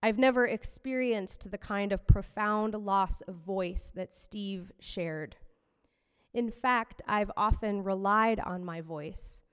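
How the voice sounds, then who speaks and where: A woman minister giving a personal, reflective sermon in a measured way. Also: medium pitch, clear, resonant tone. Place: fellowship hall. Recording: long hall reverb.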